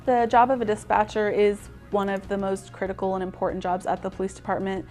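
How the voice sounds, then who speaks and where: A woman's voice: medium pitch, flat delivery, quick pace, a little mellow. A woman with a mid-range voice explains her work as a police dispatcher in an interview setting. Faint music sits behind her. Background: background music.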